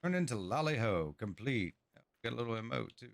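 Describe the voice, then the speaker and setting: A man's voice: medium pitch, lively intonation, a little fast, gravelly. A man giving casual gameplay commentary as a streamer, reading bits aloud with game music behind.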